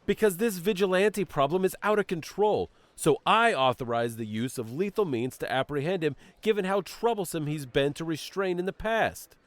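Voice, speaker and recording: A man's voice: fairly high pitch, expressive, moderate pace, hardly any pauses, dry recording, natural voice. A man acting as a stern, condescending police commissioner in an audio drama, sardonic and authoritative with formal phrasing. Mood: defensive, exasperated. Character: stern, bureaucratic male police commissioner, condescending to his former partner.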